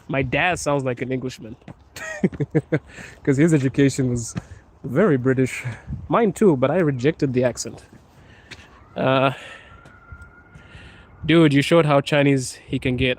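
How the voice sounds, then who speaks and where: A man from Zimbabwe live-streaming outdoors on a phone, casually reading and joking about viewer comments as he walks. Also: mid-range voice. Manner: laughing, joking with chat while walking. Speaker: livestreamer. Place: outdoors in a cold city street.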